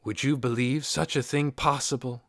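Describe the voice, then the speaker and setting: A man's voice: mid-range voice, fairly even intonation, normal speed, fairly bright tone, no room sound, clean studio sound, lightly acted. A man voicing an eccentric, secretive male astronomer in an audiobook, intense and emphatic, with clipped musing phrases. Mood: rapt, eager. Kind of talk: audiobook character voice.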